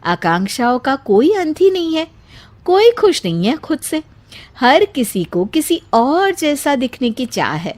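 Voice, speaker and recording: A woman narrator plays a cartoon housemaid thinking aloud. Her high voice is animated and exclamatory as she puzzles and plots to herself. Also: a little slow. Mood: surprised, pondering. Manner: musing, exclamatory. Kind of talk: cartoon storybook acting.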